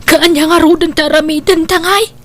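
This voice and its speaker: A woman's voice: very high voice, steady tone, moderate pace, fairly bright tone. A woman with an Ilocano Filipino accent playing a scheming, guilt-ridden mother in an audio drama. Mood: worried. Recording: music under the voice.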